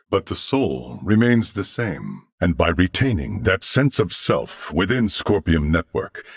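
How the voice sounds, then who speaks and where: An actor voicing a calm, courteous cybernetic alien in a video game, with a deep, gravelly voice and formal, measured, precise diction. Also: male voice, no echo, clean studio sound, full character voice. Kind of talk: dramatic video game voice acting.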